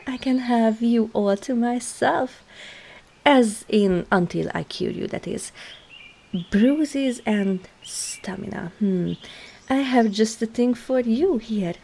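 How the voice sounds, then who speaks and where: A woman's voice: medium pitch, gravelly, warm tone, slight background noise. A woman voicing a sly, teasing traveling witch and herbologist in an ASMR roleplay, coy and murmuring into half-whispers as she addresses the listener. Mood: sly, reassuring.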